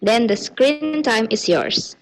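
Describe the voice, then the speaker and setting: Bright-voiced young woman moderating. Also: on the high side, expressive, slow pace, rough recording. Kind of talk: webinar moderating. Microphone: video call.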